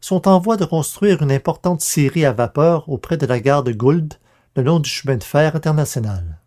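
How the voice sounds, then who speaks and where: A man's voice: a little high, animated, moderate pace, a little mellow. A man hosting a podcast, reading scripted historical narration aloud. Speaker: podcast host. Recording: long reverberant hall echo.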